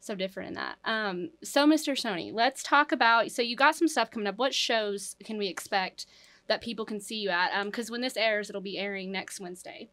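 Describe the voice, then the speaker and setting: A young woman with a raspy voice co-hosting a casual chat in a studio lounge, chatty and upbeat, speaking into a desk mic. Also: medium pitch, brisk, a bit bright. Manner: chatty, laughs often, upbeat. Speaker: co-host. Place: studio lounge set, indoors. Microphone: desk mic on stand.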